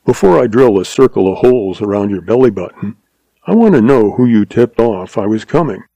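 A man's voice: fairly low pitch, animated, brisk, warm tone, no room sound, dull audio. A man playing a tall, tough cowboy rustler hunter who speaks in terse, gritted frontier talk. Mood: menacing.

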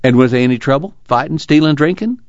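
A narrator plays an earnest organizer in an audiobook. His voice is pitched high and swings eagerly as he pleads and preaches in folksy dialect. The sound is close and dry, slightly dull. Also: very animated, moderate pace, a little mellow, no room sound. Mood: excited, urgent. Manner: rural uneducated American dialect, pleading and preaching. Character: earnest, excitable, weary labor organizer, folksy man.

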